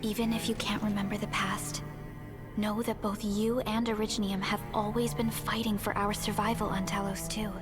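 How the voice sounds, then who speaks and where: An actress playing a composed, reassuring female mission advisor in a video game, speaking in a measured, formal way. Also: medium pitch, little pitch movement, close to an everyday voice. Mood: gentle reassurance. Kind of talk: dramatic video game voice acting. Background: game music.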